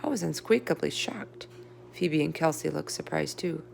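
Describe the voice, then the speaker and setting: A woman's voice: low voice, animated, normal speed, vocal fry, a little mellow, a little hiss or hum. A woman reading a children's storybook aloud as narrator. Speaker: read-aloud reader. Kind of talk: children's book read-aloud, character voices in dialogue.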